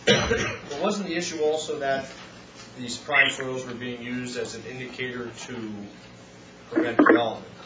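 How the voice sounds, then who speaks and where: A man raising a point at a public meeting, deliberate and halting. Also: mid-range voice, fairly even intonation, distant mic. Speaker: board member. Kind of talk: meeting discussion. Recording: noisy roomy room mic from afar.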